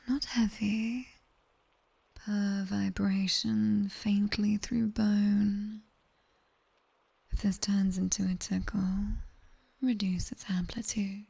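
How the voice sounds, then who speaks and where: A sharp-tongued demoness tutor, acted by a woman with a British accent, whispering right at the microphone. The voice is very breathy and soft-edged, with a crackle of fry in it. She gives unhurried, teasing commands in the manner of an ASMR sleep roleplay. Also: mid-range voice, heavily acted. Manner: wry, instructing, unhurried. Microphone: close binaural microphone.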